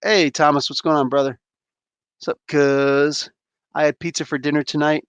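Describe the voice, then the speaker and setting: Laid-back rambling man, slightly high voice. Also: level tone, normal speed, clean tone, no echo. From New Jersey, USA. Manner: laid-back, rambling, laughs often, slangy. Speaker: livestream host. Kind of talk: casual livestream chat, reading chat and opening toys.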